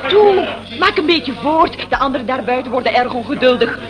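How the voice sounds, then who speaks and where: A woman's voice: sits high, lively intonation, brisk. A woman in a radio play acting a doting, chatty lady with a gushing, coaxing manner. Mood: coaxing, tearful. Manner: gushing, sing-song coaxing. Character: doting, cajoling girlfriend and chatty neighbour lady.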